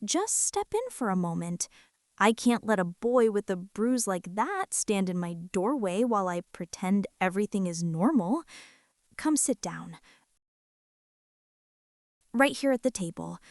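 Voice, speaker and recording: A woman playing a gentle, nervous mother in a soft-spoken ASMR roleplay, tender, hushed and hesitant as she reassures and fusses. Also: mid-range voice, big pitch swings, unhurried, dry recording, clean studio sound. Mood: soothing. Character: gentle, nervous mother fussing over a hurt boy.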